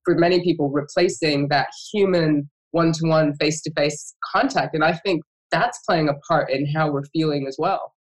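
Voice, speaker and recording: A woman's voice: low voice, moderate pace, few pauses, poor audio. Reflective woman hosting an interview. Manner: reflective, warm questions, frequent fillers and restarts. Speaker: podcast host. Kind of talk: conversational interview.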